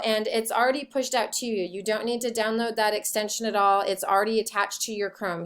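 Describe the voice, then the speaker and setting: Casting: woman teacher, slightly high voice, walking listeners through software step by step, informally. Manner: explanatory, step-by-step. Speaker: teacher giving a software tutorial. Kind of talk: informal screencast tutorial.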